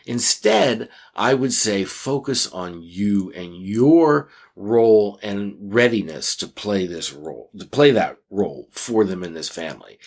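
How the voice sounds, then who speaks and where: A man co-hosting an advice podcast, speaking conversationally with emphatic, deliberate phrasing and dramatic pauses. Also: medium pitch. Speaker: podcast co-host.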